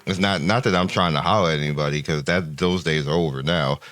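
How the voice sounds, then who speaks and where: An older man with a deep voice hosting a gaming channel, chatting casually and rambling through numbers with many restarts. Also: fast, fairly bright tone, no room sound. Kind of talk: casual commentary to viewers.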